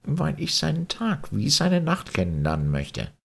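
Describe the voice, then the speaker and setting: Give a patient, contented Martian voyager from an old science-fiction audiobook, acted out by a male reader. He speaks in a voice lifted well up, with lively swings and some huskiness, instructive and measured. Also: a little high, creaky, slightly rough, voice pushed up, full character voice. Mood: patiently explaining. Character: Sirian, content, patient male Martian space traveller explaining. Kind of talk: audiobook character dialogue.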